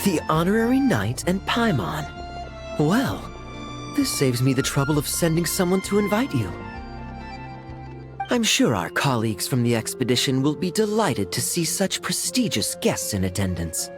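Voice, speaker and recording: Suave teasing young knight, lilting. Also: male voice, on the high side, huge pitch swings, normal speed, over music. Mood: pleasantly welcoming. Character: suave, teasing knight officer, wryly amused. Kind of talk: anime-style game voice acting.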